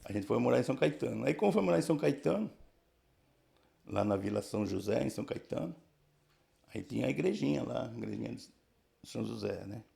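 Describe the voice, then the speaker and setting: A man in his sixties from the São region of Brazil, telling his life story casually in a halting way, full of restarts and tag questions. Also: medium pitch, expressive, gravelly, dark tone. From Brazil, São Paulo region. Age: 60s. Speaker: church member giving testimony.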